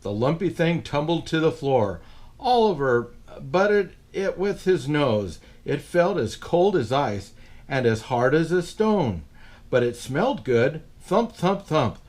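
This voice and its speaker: A man's voice: on the high side, big pitch swings, slow, a little mellow. A man in a Santa persona reading a children's picture book aloud, with playful asides to his young listeners.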